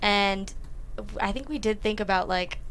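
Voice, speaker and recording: Young female writer guest, casual chat, mid-pitched voice, slight background noise. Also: normal speed, fairly bright tone. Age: young adult. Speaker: comedy writer being interviewed.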